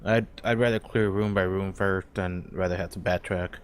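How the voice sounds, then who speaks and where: A man's voice: low-pitched, fairly even intonation, moderate pace, smooth, dry recording. A man casually talking tactics as a player in an online role-play game on voice chat.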